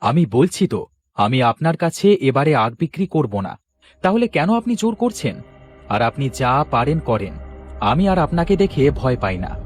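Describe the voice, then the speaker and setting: A man's voice: on the high side, normal speed, soft background music. A man playing a stubborn, honest village sugarcane farmer in a children's cartoon, speaking emphatically. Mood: defiant. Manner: emphatic, animated. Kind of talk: children's cartoon story acting.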